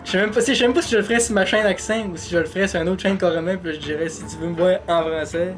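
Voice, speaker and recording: High-voiced Québécois man chatting casually. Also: steady tone, rapid-fire, soft background music. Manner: rambling, laughs often, trails off quietly. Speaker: podcast co-host. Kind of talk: casual chat.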